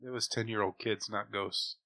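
A man's voice: on the low side, fairly even intonation, moderate pace, sharp tone. A man playing a tabletop game, bantering in a sarcastic, foul-mouthed way.

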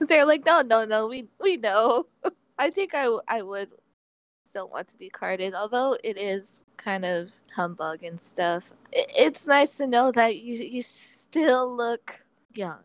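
Young woman in her twenties, podcast co-host, soft and giggly, slightly high. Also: lively intonation, slow, no room sound. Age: 20s. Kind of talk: casual chat and read ad.

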